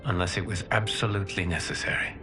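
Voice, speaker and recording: A man playing a noble, wise god and war leader in a video game, with a formal, deliberate, commanding manner. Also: sits low, fairly even intonation, natural voice. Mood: reflective, earnest. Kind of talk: dramatic video game cutscene acting. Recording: loud music behind the voice.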